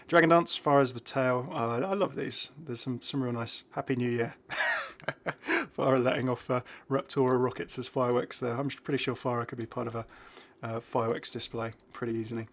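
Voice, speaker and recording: A man's voice: mid-range voice, steady tone, moderate pace, fairly bright tone. A man giving casual, enthusiastic game commentary in an informal, chuckly way. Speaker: video creator presenting game content.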